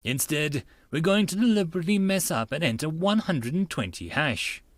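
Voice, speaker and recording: A man's voice: a little high, expressive, normal speed, dry recording, lightly acted. A man voicing a cheerful young anime girl giving recorded phone instructions in a visual novel, in a sing-song way. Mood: cheerful, instructional.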